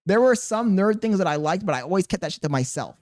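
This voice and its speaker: A man's voice: sits high, animated, fast, a little mellow. Man, thin voice, blunt, mocking, profane reaction host. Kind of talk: casual reaction commentary to chat.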